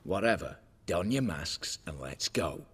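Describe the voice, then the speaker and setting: An actor with a British accent playing a brash, ruthless, hot-tempered heist ringleader in a video game, curt and commanding with a gravelly voice. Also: male voice, deep voice, expressive, measured pace, heavily acted. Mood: curt, impatient.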